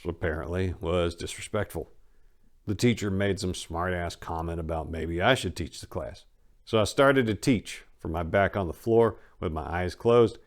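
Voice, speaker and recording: A man hosting and narrating a story in an informal, conversational, dramatic reading style. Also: sits low. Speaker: storyteller and channel host.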